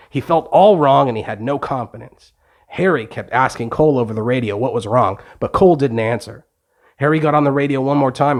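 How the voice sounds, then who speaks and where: Male storyteller, medium register, for simple scripted children's bedtime narration. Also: mid-range voice.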